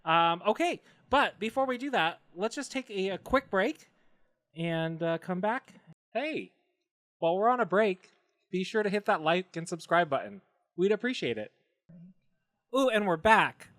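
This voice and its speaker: Guy running a podcast game, lively up-and-down voice, casual joking, dry close sound. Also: high-pitched, a little slow, no room sound. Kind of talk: casual comedic banter.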